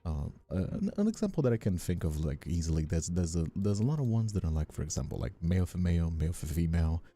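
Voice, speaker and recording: A man's voice: low-pitched, big pitch swings, quick pace, mellow, breathy edge, soft background music. A man who streams and voice acts, chatting casually and at some length with viewers. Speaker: streamer and ASMR voice actor. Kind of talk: casual livestream chat answering viewers.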